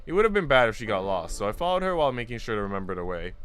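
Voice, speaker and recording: A man's voice: on the low side, big pitch swings, very fast, a bit bright, a little hiss or hum. A man streaming a story read-aloud, with casual, slangy chat to his viewers. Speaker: streamer reading a visual novel aloud. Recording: music playing behind the voice. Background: guitar and instrumental music.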